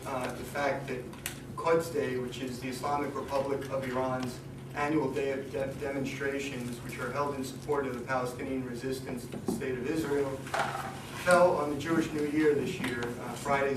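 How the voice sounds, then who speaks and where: A man giving a seminar lecture, hesitant with many ums and restarts, his voice going hoarse. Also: mid-range voice. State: losing his voice. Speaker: guest lecturer. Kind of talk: academic seminar talk. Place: lecture room. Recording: echoey room recorded from a distance, noisy.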